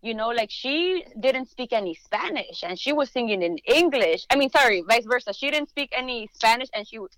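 A woman's voice: fairly high pitch, lively intonation, brisk, hardly any pauses, a bit bright, no room sound, low-quality recording. A young woman chatting casually on a podcast, talking loosely with many fillers. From United States, Chicano family.

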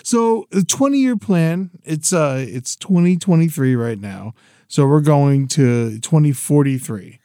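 A man's voice: a little high, expressive, a little slow, mellow, no room sound, clean studio sound. A man in his forties co-hosting a podcast, chatting casually in a laid-back, rambling way and laughing often. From Florida. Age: 40s. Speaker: podcast co-host. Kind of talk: casual chat.

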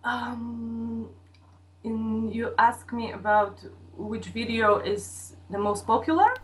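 Slow, high-voiced young Polish woman. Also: fairly even intonation. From Poland. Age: young adult. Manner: halting, searching for English words. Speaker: podcast guest, sex education video maker. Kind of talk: casual interview answers. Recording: distant mic with faint background noise.

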